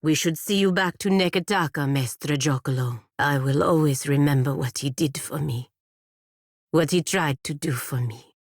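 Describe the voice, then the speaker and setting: A woman playing a proud, stern, principled warrior in a video game, with formal, measured phrasing and a dryly sardonic edge. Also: low voice, no room sound, clean studio sound. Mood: grave, measured. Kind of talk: video game character dialogue.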